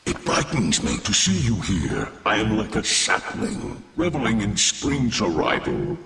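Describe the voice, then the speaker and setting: A man voicing a courtly, wordy, gentle tree creature in a fantasy game, with florid, earnest speech and a gravelly voice. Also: on the low side, huge pitch swings, exaggerated voice. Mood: delighted, effusive. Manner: florid, formal, earnest, deliberate. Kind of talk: fantasy video game acting. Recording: long cavernous reverb, noisy background.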